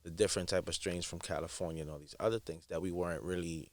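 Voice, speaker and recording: A man's voice: sits low, fast, no echo. A man chatting animatedly in a podcast. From Harlem, New York. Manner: animated, agreeable, street slang. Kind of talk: casual podcast chat.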